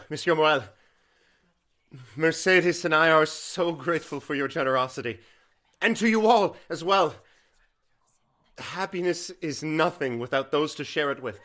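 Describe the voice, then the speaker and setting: High-voiced earnest young captain. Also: male voice, a bit bright. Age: young adult. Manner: sincere, polite, formal. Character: earnest, grateful young sailor captain, Edmond Dantès. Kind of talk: audio drama acting.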